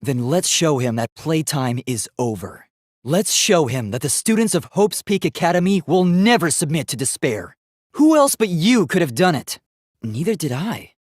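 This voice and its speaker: A young male robot student from a video game, earnest and logical. His delivery is formal and precise, as if every statement is being reasoned through, yet the pitch moves with lively variation. The sound is dry, with no room around it. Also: moderate pace, dry recording. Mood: analytical, resolute. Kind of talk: English video game voice acting.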